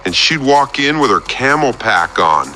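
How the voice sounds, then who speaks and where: Man acting an animated, earnest, oversharing oddball. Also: mid-range voice, measured pace. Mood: wistful. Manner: earnest, rambling, oddly detailed. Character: earnest, lonely, oversharing male oddball client. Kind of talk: scripted radio comedy monologue.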